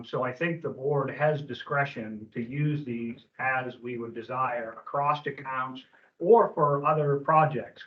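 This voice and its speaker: A quiet, soft-voiced man speaks as a board member in a meeting discussion. His voice is at a middle pitch for a man. The recording sounds muffled and lo-fi.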